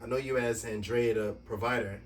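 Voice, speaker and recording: A man hosting a podcast from a basement home studio, giving lively, playful introductions and questions. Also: mid-range voice, brisk, far from the mic, slight background noise. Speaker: podcast host. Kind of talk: podcast interview.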